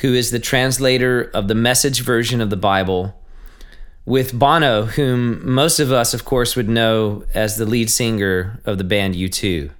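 A man's voice: medium pitch, unhurried. Earnest male podcast host. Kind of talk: scripted intro then interview chat.

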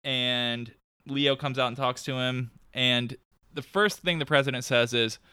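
A man talking casually on a podcast, chatty and quick to laugh. His voice is bright and clear. The sound is dry and close. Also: medium pitch, steady tone, dry recording. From New York state. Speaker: podcast host. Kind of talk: casual chat recapping a TV episode.

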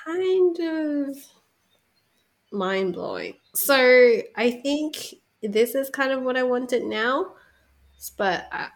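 A woman's voice: high voice, lively intonation, takes it slowly. A woman whose first language is Cantonese, co-hosting a casual podcast, chatty and reflective, often breaking into laughter. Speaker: podcast co-host.

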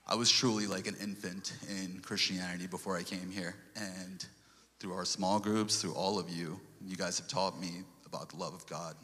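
Man, very low voice, bright and forceful tone, earnest testimony, long hall reverb. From Sri Lanka. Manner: earnest, with restarts and quoted scripture. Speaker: lay speaker giving a testimony. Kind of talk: church testimony talk.

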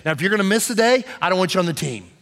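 A pastor delivers his sermon in a slightly higher-than-average man's voice. He speaks conversationally and drives his points home by repeating key phrases. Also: very animated, rapid-fire, a bit bright. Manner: conversational preaching with emphatic repetition.

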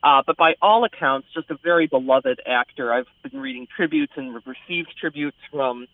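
An American man speaks as a critic in a conversational radio segment. The voice sits fairly high for a man, and the sound is very dry and narrow, like a phone line. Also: big pitch swings, normal speed, creaky, no room sound. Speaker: theater critic.

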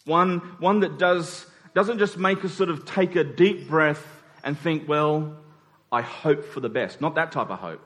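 Male preacher, higher-pitched voice, conversational sermon delivery with emphatic repetition and rhetorical check-ins. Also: measured pace, fairly bright tone. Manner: emphatic repetition of key phrases.

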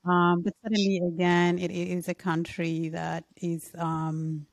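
Low-voiced woman host, dry close sound. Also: monotone, measured pace, vocal fry, no echo. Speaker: interviewer, host. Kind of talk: conversational interview.

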